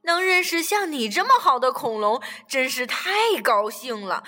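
A woman voicing a gentle, kind and lonely female sea reptile in a children's audio story, speaking softly and kindly. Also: sits high, big pitch swings, a little slow, exaggerated voice. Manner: soft, kindly, gently questioning. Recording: reverberant room with music underneath.

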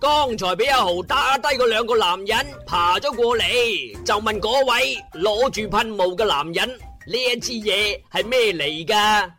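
A man with a Cantonese accent narrating a comic internet radio drama in a theatrical, colloquial storytelling style with drawn-out words. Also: high voice, expressive, bright, forceful tone, faint music behind.

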